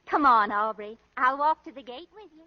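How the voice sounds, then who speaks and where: A woman's voice: high-pitched, lively intonation, moderate pace, background noise. A woman playing a giggly, adoring young sweetheart in a 1930s radio comedy, coy and eager to please. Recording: dull, lo-fi 1930s radio recording.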